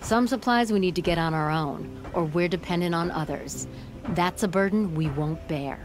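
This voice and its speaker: Woman playing a low-voiced, tough forge leader. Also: moderate pace, lightly acted. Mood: firm, earnest. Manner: firm, blunt, folksy. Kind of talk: video game voice acting. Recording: game music behind the voice.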